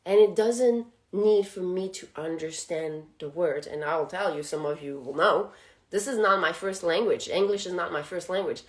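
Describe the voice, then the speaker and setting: A woman whose first language is French, hosting a spiritual channel and talking casually, reflective and laughing often. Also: lowish voice.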